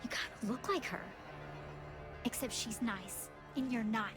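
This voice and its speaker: Actress as small child, innocent and blunt, highish voice, clear game music underneath. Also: female voice, measured pace, lightly acted. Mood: wary, accusing. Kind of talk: video game cutscene acting.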